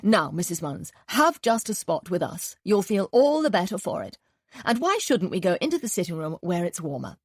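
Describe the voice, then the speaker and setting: A woman reading an audiobook, voicing a cordial, airy, well-spoken gentleman. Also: on the low side, sing-song, normal speed, breathy edge, dry recording, studio quality, full character voice. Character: cordial, breezy upper-class gentleman.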